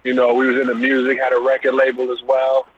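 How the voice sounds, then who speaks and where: A man's voice: medium pitch, completely flat delivery, fast, noisy background. A middle-aged man from Brooklyn with a New York accent, a guest talking easily about his background with loose fillers. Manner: easygoing, loose with many fillers. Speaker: guest, business owner. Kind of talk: casual interview. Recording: phone-like call line.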